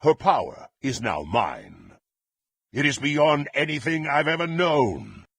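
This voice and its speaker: An actor playing a power-hungry, contemptuous dark warrior in a fantasy video game, with a gravelly, husky voice and haughty, theatrical, archaic phrasing. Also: male voice, a little high, wildly swooping pitch, takes it slowly, no echo, exaggerated voice. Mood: exultant, power-drunk.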